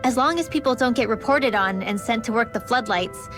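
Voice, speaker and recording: A cautious young rebel woman, played by a woman, brisk and a little high-pitched. Clear game music plays under her lines. She keeps her voice low and careful. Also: natural voice. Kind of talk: video game voice acting.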